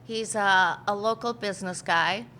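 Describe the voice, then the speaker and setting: Irish woman, 50s, bright chatty storyteller, interview guest. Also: medium pitch, measured pace, a little hiss or hum. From Ireland. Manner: chatty storyteller, laughs easily, acts out quotes. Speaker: pub owner, interviewee. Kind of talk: casual interview chat.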